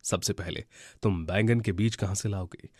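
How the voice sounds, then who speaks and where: A man voicing a wise, kindly old owl who gives measured, reassuring advice in a children's cartoon. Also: low-pitched, a little mellow, breathy edge, dry recording, studio quality. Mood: advising. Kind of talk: Hindi children's cartoon story acting.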